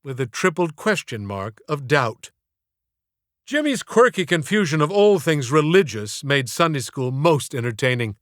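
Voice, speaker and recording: A man's voice: on the high side, big pitch swings, a little slow, fairly bright tone, dry recording, clean studio sound. A man narrating a comic story as an audiobook, in a theatrical, wry, mock-formal way.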